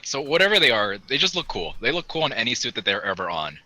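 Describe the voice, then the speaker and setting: A man's voice: medium pitch, very animated, quick pace, bright, forceful tone. A man co-hosting a hobby podcast, chatting casually and enthusiastically in an informal way. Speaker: podcast co-host. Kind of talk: casual hobby chat about model kits.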